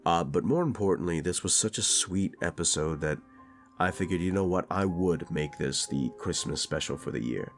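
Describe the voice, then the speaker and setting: Male channel host, deep voice, a little stuffed up, informal and earnest listener address over music. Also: moderate pace. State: slight sinus congestion. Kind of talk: casual address to listeners, thanking supporters.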